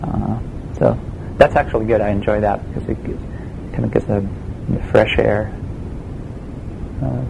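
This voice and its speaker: A man with a Swiss German accent, a game production designer answering interview questions in a relaxed, hesitant way with many fillers. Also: fairly low pitch, unhurried, creaky, long pauses, background noise. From Switzerland.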